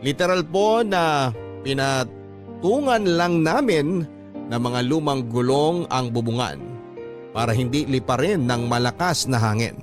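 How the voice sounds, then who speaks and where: A man reading out a life story as a radio host, in dramatic scripted narration. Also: a little high. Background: music under the voice.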